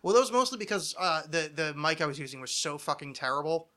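Bright-voiced middle-aged man, rambling podcast host. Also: on the high side, lively intonation, quick pace. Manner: rambling, self-deprecating, profane. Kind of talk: casual chat.

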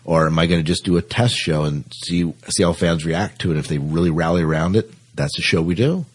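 A man's voice: deep voice, brisk, slightly rough. A man hosting a podcast, chatting casually and emphatically and putting questions to his co-host.

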